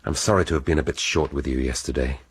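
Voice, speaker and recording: Brief: a senior English detective, deep and breathy, dryly witty. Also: male voice, steady tone, exaggerated voice. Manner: measured, reflective, dry wit. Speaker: police detective inspector. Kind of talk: radio drama.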